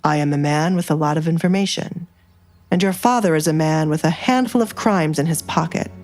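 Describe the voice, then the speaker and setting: A woman voicing a powerful male duke, cool, controlled and quietly menacing, in an audio romance drama. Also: sits low, a little fast. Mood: quietly threatening.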